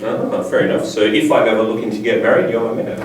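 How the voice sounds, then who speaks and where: A man's voice: mid-range voice, fast. A man hosting a casual interview, playful and teasing, laughing often. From Australia. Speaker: interviewer, host. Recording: echoey room, distant mic, faint noise.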